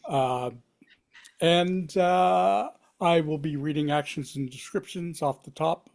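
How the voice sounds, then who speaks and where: Slow-talking man, hesitant and wry. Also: a little high, vocal fry. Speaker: actor at a table read. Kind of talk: casual self-introduction.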